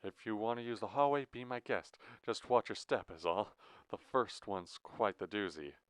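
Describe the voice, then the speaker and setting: A man's voice: lowish voice, moderate pace, clean tone, dry recording, close to an everyday voice. A man voicing a sinister, courteous audiobook villain who stresses every syllable with menacing glee. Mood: polite menace. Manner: over-enunciated, stressing every syllable evenly. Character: sinister, theatrically courteous male villain, madly gleeful.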